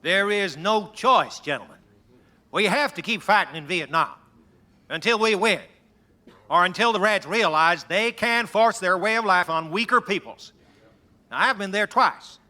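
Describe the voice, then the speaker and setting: An actor playing a hawkish major in a radio drama, making an emphatic speech with a forceful voice. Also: male voice, high voice, a little slow, exaggerated voice. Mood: hawkish, insistent. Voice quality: sharp, forceful. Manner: emphatic, oratorical.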